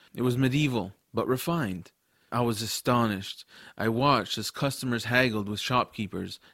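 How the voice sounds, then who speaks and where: A man's voice: medium pitch, fairly bright tone, no room sound, clean studio sound. A man reading scripted first-person story narration for a family fantasy audiobook.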